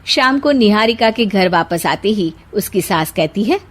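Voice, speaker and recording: A woman's voice: mid-range voice, lively intonation, a little slow. Woman narrating a cartoon story.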